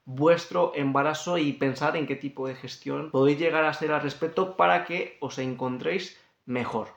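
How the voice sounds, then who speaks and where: High-voiced Spanish man in an echoey room. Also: normal speed, creaky. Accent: European Spanish. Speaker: fitness coach presenting a training guide. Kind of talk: informal explanatory talk to camera.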